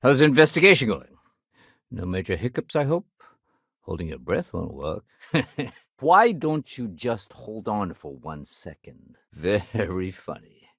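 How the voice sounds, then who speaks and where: A man playing a steady, dutiful security sergeant in a video game, speaking conversationally with official firmness and dry wit. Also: on the low side, unhurried, gravelly, pauses often, slightly rough, no room sound, exaggerated voice. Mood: wry, firm. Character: steady, dutiful male spaceport security sergeant, dryly wry.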